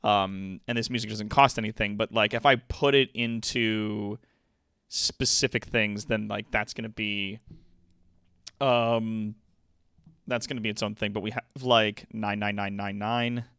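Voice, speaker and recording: A man's voice: lowish voice, steady tone, measured pace, a bit bright, clean tone, dry recording, clean studio sound. A man hosting a livestream with casual, informal, sweary chat. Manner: profane, informal. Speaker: livestream host.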